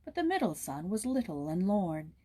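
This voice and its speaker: Woman reading a storybook aloud, measured and expressive. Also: lowish voice, breathy edge. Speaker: narrator reading a children's book aloud. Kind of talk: storybook read-aloud with informal introduction.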